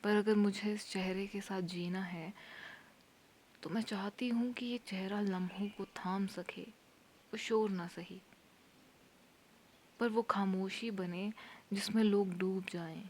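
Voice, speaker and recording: A woman performs a poetic spoken-word monologue in an intimate, hushed, often breathy voice, leaving long pauses between phrases. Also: mid-range voice, fairly even intonation.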